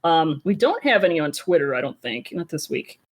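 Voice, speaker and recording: A woman's voice: sits low, sing-song, brisk, a little hiss or hum. A woman hosting a casual fan podcast, wry and informal, with some swearing. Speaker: podcast host.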